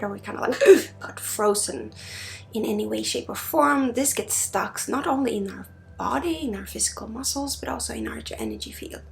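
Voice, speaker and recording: Soft creaky woman, drifting into whisper. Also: on the high side, low-quality recording. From Sweden. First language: Swedish. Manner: drifts between soft murmur and breathy whisper. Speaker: ASMR host, spiritual energy healer. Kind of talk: ASMR, soft-spoken guided energy healing.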